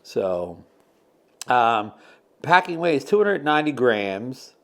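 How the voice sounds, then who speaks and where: A man's voice: mid-range voice, big pitch swings, slow, a bit bright. A man giving a casual product demo as a video host, talking in a hesitant, repetitive, rambling way.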